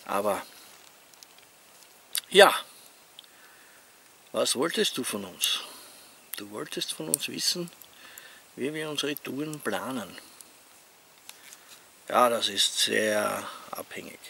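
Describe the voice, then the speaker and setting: A middle-aged man with an Austrian accent talking casually and haltingly to camera as an outdoor vlogger. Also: medium pitch, expressive, vocal fry, sharp tone. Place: outdoors among leafy trees. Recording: outdoor ambient noise.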